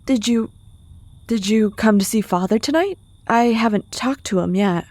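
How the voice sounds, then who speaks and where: An actress playing a sweet, innocent, trusting young woman in old-time radio suspense style, with a gentle, soft manner. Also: a little high, normal speed, dry recording, natural voice. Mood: hesitant, pleased.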